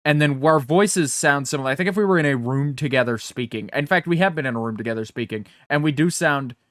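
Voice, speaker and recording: Brash male podcast co-host, loud and smooth-voiced, hiccuping, dry close mic. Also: a little high, quick pace, no echo. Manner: loud, brash, swears often. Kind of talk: casual chat. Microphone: home studio microphone.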